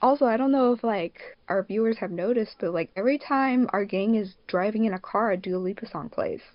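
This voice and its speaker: A woman hosting a casual podcast, talking in an animated, rambling, slangy way. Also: medium pitch, a little fast, dry recording. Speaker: podcast host and fan dub producer. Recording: dull, dry lo-fi mic.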